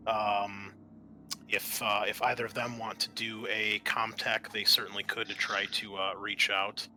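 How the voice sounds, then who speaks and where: A man's voice: on the low side, flat delivery, a little slow, bright, forceful tone, slight background noise. A man narrating a tabletop game as game master in a conversational, explanatory way.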